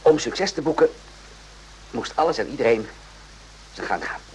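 A man playing a composed military officer who tells his story deliberately in a radio drama. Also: mid-range voice, lively intonation, darkish tone, lightly acted. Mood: composed, explaining. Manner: measured, deliberate explaining. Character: composed, methodical military officer recounting a spy affair. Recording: faintly noisy, humming old radio recording.

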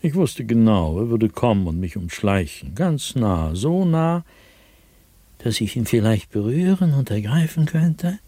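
A tormented, obsessive man in a radio play monologue. His delivery is slow and halting, with anguished emphasis and huge leaps in pitch. The voice is husky and desperate, recorded close and dry. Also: very animated, dark tone, no room sound. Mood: tense, vengeful. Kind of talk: German radio drama monologue, theatrical diary narration.

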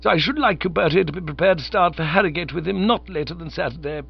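A man's voice: a little high, quick pace, creaky, breathy edge, voice pushed up. A man voicing a stern, pompous middle-aged aunt who lectures loftily and stalls over delicate words. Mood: imperious. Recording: slightly dull lo-fi recording.

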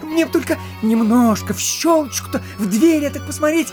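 A man playing a fussy, nosy little provincial gentleman, excitable and repeating himself, in a comic audio play. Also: very high-pitched, normal speed, faint music behind. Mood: eager, pleading. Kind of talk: theatrical comedy audio drama acting.

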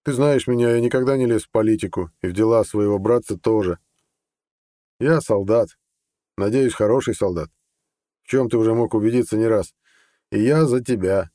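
A man's voice: lowish voice, moderate pace, pauses often, warm tone, no echo, clean studio sound, pitched above the performer's own voice, natural voice. A man voicing a blunt, ambitious army colonel, plain-spoken and earnest, in an audiobook. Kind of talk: audiobook character dialogue.

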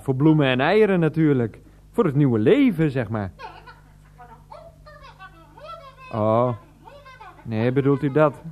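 A man's voice: a little high, natural voice. A man playing a flustered adult son who stammers and keeps getting exasperated with his mother on the phone, in a 1990s radio play. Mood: cheerful. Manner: stammering, exasperated, bursts into laughter. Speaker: character in a radio drama.

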